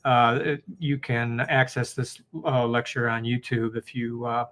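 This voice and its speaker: A man's voice: medium pitch, flat delivery, unhurried, fairly bright tone. A man giving a public lecture, hesitant and full of fillers.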